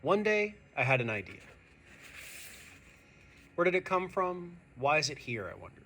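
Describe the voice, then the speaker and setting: A man who is a teacher reading a picture book aloud to young students, expressive and speaking to them directly. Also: on the high side, normal speed, a little hiss or hum. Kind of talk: animated children's read-aloud.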